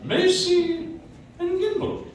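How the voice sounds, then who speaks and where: A man's voice: very high voice, steady tone, slow pace. A man playing a jovial, blustery department store boss in a live radio play, with hearty, emphatic delivery. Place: coffeehouse stage, picked up from the audience. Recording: echoey faintly noisy room, distant microphone.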